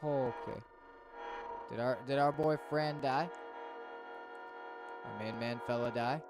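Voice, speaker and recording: A man streaming casual reactions and commentary, expressive and thinking aloud as he goes. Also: mid-range voice, takes it slowly, over music.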